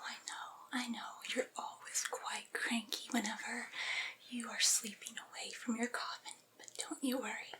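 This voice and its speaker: A woman playing a devoted, patient vampire's familiar in a soothing ASMR roleplay, whispering and coaxing a cranky master with gentle reassurance. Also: on the high side, natural voice, low-quality recording. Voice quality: breathy, unvoiced whisper.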